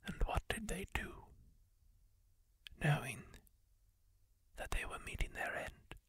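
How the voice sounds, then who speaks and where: A man's voice: no echo, studio quality. A man whispering as a kindly masked healer. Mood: hushed solemn. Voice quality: soft unvoiced whisper, gravelly and husky. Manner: slow, hushed, solemn storytelling to the listener. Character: kindly masked male healer of a dark fantasy world. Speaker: ASMR roleplay performer. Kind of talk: ASMR roleplay whispered storytelling.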